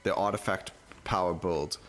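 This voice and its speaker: A man's voice: low voice, fairly even intonation, normal speed, fairly bright tone. A man giving casual gameplay commentary, thinking aloud and rambling as he plays, with game music behind him. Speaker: gaming video host.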